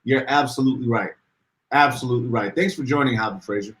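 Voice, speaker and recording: A man co-hosting a casual podcast livestream, reading out viewer comments and getting animated as he talks. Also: fast talker. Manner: animated, rises in pitch when worked up. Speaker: podcast co-host.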